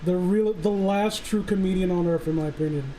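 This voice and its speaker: A man's voice: high-pitched, brisk, a loud crowd. A man in casual chat, sweary and opinionated. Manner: profane, opinionated. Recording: clearly noisy background.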